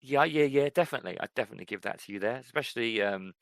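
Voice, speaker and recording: A man's voice: medium pitch, rapid-fire, creaky, no echo. A middle-aged man co-hosting a podcast, chatting casually and rambling with many fillers. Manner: relaxed, rambling, frequent fillers. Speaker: podcast co-host. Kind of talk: casual chat.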